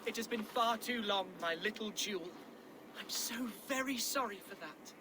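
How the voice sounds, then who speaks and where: Guy as a tender young saxon lord in a game, really high voice for a man, courtly, noisy ambience behind. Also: moderate pace, natural voice. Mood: warm, proud. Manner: courtly, affectionate, florid. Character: young, earnest, tender-hearted Saxon nobleman. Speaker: video game supporting character. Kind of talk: dramatic game cutscene acting.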